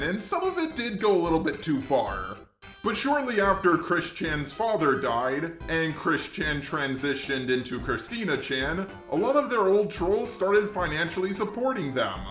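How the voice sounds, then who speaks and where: A man's voice: fairly high pitch, a bit bright, echoey room. A man hosting an internet commentary video, reading a scripted narration. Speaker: commentary channel host.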